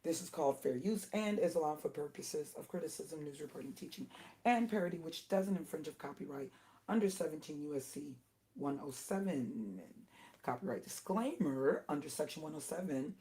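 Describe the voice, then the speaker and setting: A middle-aged woman with a naturally low alto voice that has gone hoarse and strained. She hosts a casual livestream and talks in stops and starts, breaking off and apologizing before she gets going. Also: expressive, mellow. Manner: halting, with many restarts and apologies. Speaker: livestream host.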